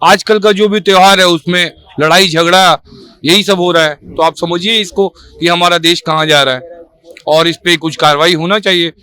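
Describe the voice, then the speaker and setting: A man's voice: sits high, fairly even intonation, moderate pace, sharp tone, clean tone. A man giving his opinion in an impromptu street interview. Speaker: member of the public being interviewed.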